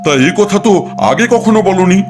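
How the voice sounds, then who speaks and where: A man's voice: sits high, moderate pace, over music, lightly acted. A man playing a worried, testy old village father-in-law in a folk-tale cartoon, fussy and exasperated. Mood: puzzled, alarmed.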